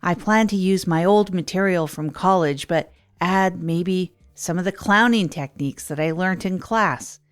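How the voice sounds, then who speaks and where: A woman playing an earnest, hapless radio host in a comedy audio drama, rambling in a deliberate, self-deprecating way. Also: on the low side, soft background music, lightly acted. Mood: hopeful, rambling. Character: Tog Chesterfield, earnest, hapless radio host rebuilding their life. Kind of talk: improvised comedy audio drama monologue, late-night radio parody.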